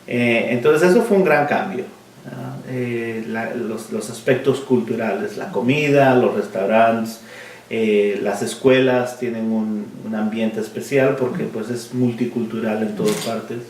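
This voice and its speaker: A man's voice: mid-range voice, a little slow, a little mellow. Guatemalan-raised-in-Miami man in his 40s, reflective interviewee, mixes in English words; roomy room, distant mic. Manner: thoughtful, searching for words, English words mixed in. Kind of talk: oral history interview.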